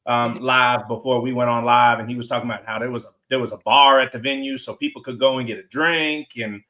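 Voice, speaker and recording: A man co-hosting a livestream podcast in casual chat, upbeat and quick to laugh. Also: mid-range voice, steady tone, pushed and bright. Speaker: podcast co-host.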